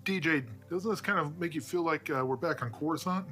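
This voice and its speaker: A man's voice: fairly high pitch, brisk, bright, forceful tone, faint music behind. A man playing a tabletop game, chatting in character in an easygoing, chummy way. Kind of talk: casual in-character roleplay chat.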